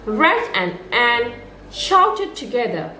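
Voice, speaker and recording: A woman reading a children's story aloud as a nursery storyteller, in an expressive, sing-song way meant for young children. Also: a little high, slow. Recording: roomy echo, music underneath.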